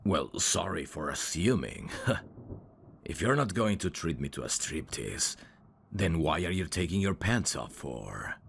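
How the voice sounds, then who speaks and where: Guy doing a super deep slow-talking demon, smooth flirty asmr roleplay, pitch swoops around, some background noise. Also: very animated, full character voice. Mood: teasing, curious. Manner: smooth-talking, flirtatious, formal phrasing with hesitations. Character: suave, teasing adult male demon, courteous and curious. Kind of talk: intimate ASMR roleplay acting addressed to the listener.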